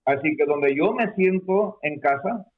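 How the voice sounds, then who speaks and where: A man from peru talks as a guest over a narrow, tinny line, a bit high for a man, full of fillers and halting restarts. he speaks in a hesitant way. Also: expressive. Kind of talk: conversational explanation. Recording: phone-like narrow sound.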